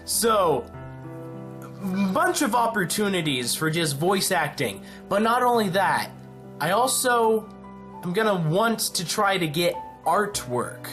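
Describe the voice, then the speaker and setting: An excited channel host making a casual announcement, talking in an emphatic, rambling way. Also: mid-range voice, takes it slowly. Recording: music behind the voice. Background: music.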